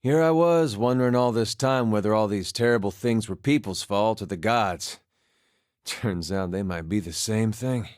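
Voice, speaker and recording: An actor playing a wry, easygoing farmer and war veteran with a folksy, understated way of talking, in a video game. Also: male voice, lowish voice, moderate pace, no echo, clean studio sound, lightly acted. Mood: bitter, musing. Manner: folksy, understated, dryly humorous, drops words. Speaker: video game companion character. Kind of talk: video game voice acting, conversational dialogue.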